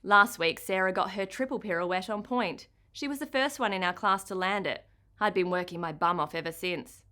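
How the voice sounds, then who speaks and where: A woman with an Australian accent reads a children's novel aloud in the first person. Her pitch is medium, and her delivery is expressive storytime narration. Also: normal speed. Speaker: author reading her own book.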